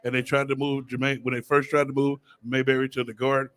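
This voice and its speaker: A man's voice: mid-range voice, level tone, fairly bright tone. A middle-aged American man, a former football player, arguing on a sports panel, cutting in and repeating his words. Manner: argumentative, interrupting, halting repeats. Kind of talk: heated sports-panel banter.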